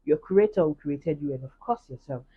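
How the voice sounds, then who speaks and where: A woman's voice: deep voice, animated, fairly quick, breathy edge, phone-quality sound. A woman with a Nigerian accent, earnestly sharing a personal faith testimony in a casual vlog and speaking straight to her viewers. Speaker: vlogger.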